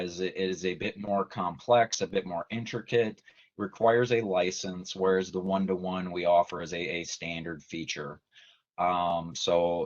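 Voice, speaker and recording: A man giving a measured, methodical technical explanation as a trainer in an online webinar. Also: low-pitched. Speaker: technical trainer, company presenter. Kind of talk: explanatory webinar presentation, semi-scripted. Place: online video meeting. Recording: dull lo-fi video call audio.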